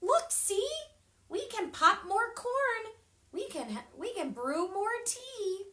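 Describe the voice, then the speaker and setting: A woman voicing a tiny mouse who comforts a friend in a squeaky, very high voice. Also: big pitch swings, pushed and bright, heavily acted. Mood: soothing. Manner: squeaky and quick. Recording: roomy echo.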